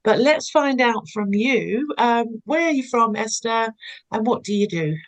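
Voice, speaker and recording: A woman's voice: on the high side, lively intonation, measured pace. Lady podcast host, upbeat and friendly, keeps saying amazing and fabulous. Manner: enthusiastic, friendly interviewer. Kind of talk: casual interview chat.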